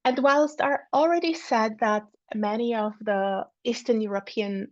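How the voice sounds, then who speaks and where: A woman presents her research over a video call. She talks slowly and hesitantly, filling pauses with ums and uhs and often repeating words. Her voice sits in a mid range and comes through a dull, lo-fi connection with a dry, close sound. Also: a little high, no room sound. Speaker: academic speaker. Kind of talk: online academic talk.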